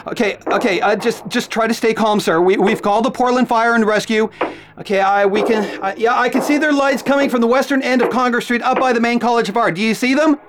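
A man's voice: very high-pitched, fairly quick, noisy background. An actor playing a frightened, earnest radio newsman, stammering urgently through a live report in a radio drama. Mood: alarmed.

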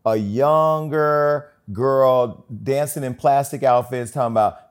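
A man's voice: medium pitch, takes it slowly, clean tone. A man hosting a gossip interview, campy and sassy, dropping profane, emphatic asides while he asks his questions. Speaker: podcast host.

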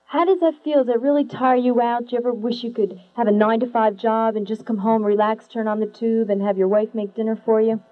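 A woman interviews a rock band in a bright, fairly high voice, eager and often laughing. The audio is thin and phone-like, with a steady electrical hum underneath. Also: fast talker, few pauses.

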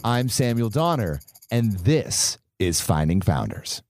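A man hosting a podcast, narrating in a calm, measured way and interviewing a guest out on a boat. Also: fairly low pitch, loud music behind the voice. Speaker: podcast host. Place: on a boat in a bay.

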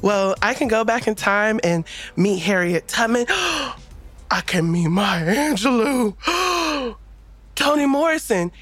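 A woman plays an excitable, sassy Earth woman in a space audio drama. The voice is high and swoops brightly, gushing and exclamatory in manner. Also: slow pace, husky, soft background music, exaggerated voice. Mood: elated, sassy.

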